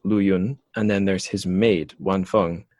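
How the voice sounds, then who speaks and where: A man's voice: deep voice, steady tone, measured pace, darkish tone, no room sound, clean studio sound. A male podcast host talking casually with a guest, rambling with lots of fillers and self-corrections. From lived in China. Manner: rambling, many fillers and restarts. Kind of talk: casual interview chat.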